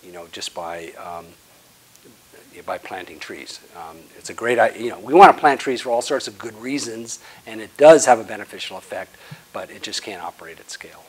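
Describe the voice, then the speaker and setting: A man in his sixties, the guest speaker, answering audience questions after a lecture in a conference room, pushing hard on key words and letting phrase ends fade quietly. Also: on the low side, animated, moderate pace, slightly rough, poor audio. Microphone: lapel.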